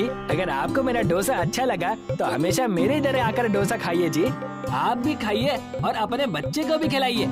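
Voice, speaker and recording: A narrator playing a humble, worried, earnest male South Indian dosa street vendor in a cartoon story, often ending phrases with a respectful tag. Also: medium pitch. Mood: humble, friendly. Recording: music behind the voice.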